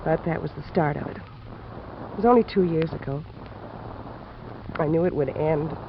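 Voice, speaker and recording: Female, American, a resigned, troubled young woman; halting, intense vintage radio acting, muffled and noisy recording. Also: low voice. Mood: halting, ashamed. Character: fatalistic, desperate young woman addict confessing. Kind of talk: 1950s radio drama acting.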